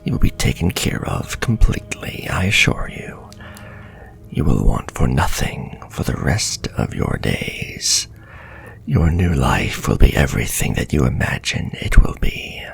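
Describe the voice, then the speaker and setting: A man playing a sadistic, flirtatious warlock in a whispered ASMR fantasy roleplay, speaking slowly and intimately in a breathy, possessive way. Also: big pitch swings, exaggerated voice. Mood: possessive, soothing. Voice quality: breathy, gravelly low whisper. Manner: slow, intimate, menacing endearments. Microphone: close ASMR microphone.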